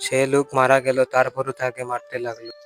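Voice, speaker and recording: A man's voice: medium pitch, completely flat delivery, a little fast, a bit bright, soft background music. A man narrating a cartoon story in plain storytelling style.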